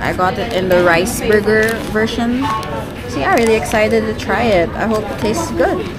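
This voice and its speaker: A young woman with a voice gone hoarse from screaming, chatting upbeat to a handheld camera while sightseeing. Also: mid-range voice, moderate pace. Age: young adult. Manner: chatty, upbeat, frequent giggles. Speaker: vlogger. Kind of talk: casual travel vlog to camera. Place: city streets, restaurant and observation wheel cabin. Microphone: camera held at arm's length. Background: loud background music.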